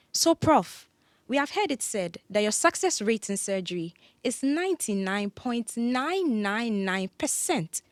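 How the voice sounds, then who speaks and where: Woman playing a professional young interviewer, polite, measured, medium pitch, dry studio sound. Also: no echo, lightly acted. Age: young adult. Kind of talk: audio drama acting of an interview.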